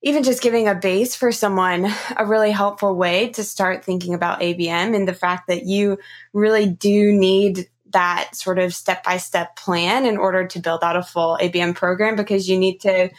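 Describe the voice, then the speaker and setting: Woman, medium pitch, podcast host, conversational, encouraging, summarizing. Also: fairly even intonation, normal speed. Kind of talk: conversational interview wrap-up.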